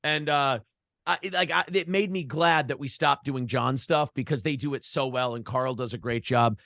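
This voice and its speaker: A man's voice: medium pitch, moderate pace, vocal fry, fairly bright tone, dry recording, studio quality. An American man chatting casually as an interview guest, telling rambling stories in a blunt, profane way. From Minnesota, United States. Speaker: podcast and morning show host, interview guest. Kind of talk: casual interview chat, storytelling.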